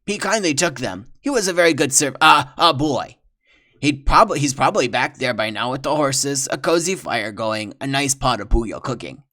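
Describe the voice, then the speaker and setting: A man voicing a cowardly, blubbering male trapper who wails and pleads in a high, loud voice. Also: animated, normal speed. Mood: pleading.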